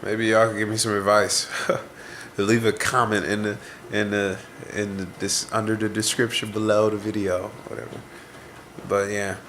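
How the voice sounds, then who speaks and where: A man's voice: on the low side, creaky, a bit bright, a little hiss or hum. A man in his twenties, congested, talking to camera in a rambling, introspective way as he vents. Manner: rambling, introspective, searching for words. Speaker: vlogger. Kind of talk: casual reflective venting to camera.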